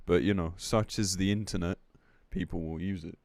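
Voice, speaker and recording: A man's voice: sits low, fairly even intonation, normal speed, creaky, a little mellow. A man live-streaming in a casual, blunt, exasperated way, commenting between bits of reading aloud and swearing freely.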